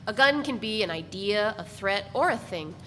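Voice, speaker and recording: Lady reading novel narration out loud at a live event, echoey hall, bit noisy. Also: mid-range voice, expressive, normal speed. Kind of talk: scripted first-person literary narration, read aloud live.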